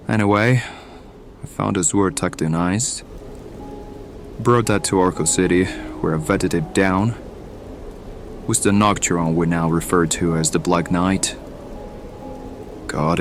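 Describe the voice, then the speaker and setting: An actor playing a bitter, world-weary mutant mercenary in an audio drama, grumbling with coarse sardonic resentment. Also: male voice, low-pitched, steady tone, slow, pauses often, background noise. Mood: bitter, recounting. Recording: music under the voice.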